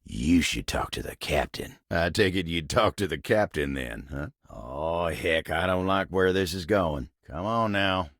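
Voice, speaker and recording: A man voicing a humble, hardworking living table in a video game, with a gravelly, husky Southern American drawl and a folksy manner. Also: low-pitched, a little slow, few pauses, fairly bright tone, no room sound, studio quality, heavily acted. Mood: uneasy, reluctant. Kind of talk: video game character voice acting.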